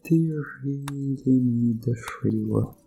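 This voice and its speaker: A man acts out a sinister doctor in a hypnosis ASMR audio drama, with a mellow voice over music. He speaks extremely slowly, with deliberate pauses, soothing and coaxing while condescending to his subject. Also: medium pitch, vocal fry, rough recording.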